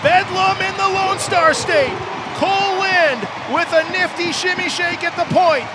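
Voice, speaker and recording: High-pitched, excitable male hockey play-by-play announcer. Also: unhurried, a loud crowd, very noisy. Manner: excitable, builds from steady calls to shouts. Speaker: radio play-by-play broadcaster. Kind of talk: live ice hockey play-by-play commentary.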